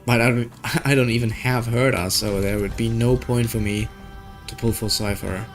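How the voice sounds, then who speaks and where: A man's voice: mid-range voice, steady tone, moderate pace. A man giving casual, rambling, opinionated commentary as he streams a video game. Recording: game music behind the voice. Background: video game music.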